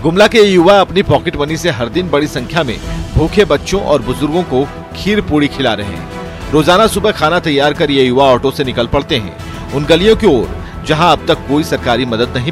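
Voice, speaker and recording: A man with an Indian accent reads a news report in a fairly high voice. The narration is scripted, with music clearly running behind it. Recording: music bed under the voice.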